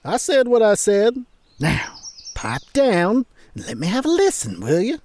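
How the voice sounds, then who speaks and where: A man's voice: high voice, wildly swooping pitch, a little mellow, dry recording, heavily acted. An actor playing a cocky, wily old man in a Western audio drama, with a folksy Southern American drawl and a teasing manner. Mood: wry, guarded. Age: older. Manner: folksy, teasing, drawn-out delivery.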